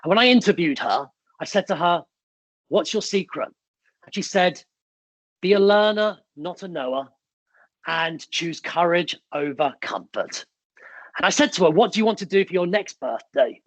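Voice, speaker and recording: Man, raised in the UK with Italian as first language; high-leaning, dry-miked motivational keynote speaker who tells stories with emphasis. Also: lively intonation, normal speed, dry recording, rough recording. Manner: emphatic, storytelling, punchy short phrases.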